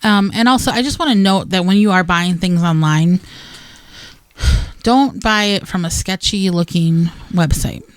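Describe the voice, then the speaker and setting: A woman co-hosts a gardening radio show in a chatty, playful way, tossing in asides. Her voice is smooth and clear, and the studio sound is dry. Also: fairly low pitch, unhurried, no echo. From Wisconsin. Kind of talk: casual gardening chat.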